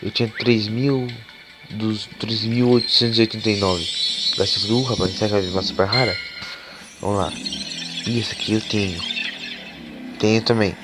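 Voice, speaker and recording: Hoarse low-voiced man, with game music. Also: normal speed, pauses often. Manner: casual and swearing, excitable when cards are revealed. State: hoarse from a cold. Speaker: gaming YouTuber. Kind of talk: casual gameplay commentary. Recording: game music playing behind the voice.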